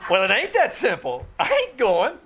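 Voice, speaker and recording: Man playing very high-pitched, carefree radio sidekick. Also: huge pitch swings, moderate pace. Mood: stubborn. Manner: breezy, slangy. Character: carefree, wisecracking troublemaking musician sidekick, male. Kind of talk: 1940s radio sitcom acting, live stage re-creation. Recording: noisy live theater stage.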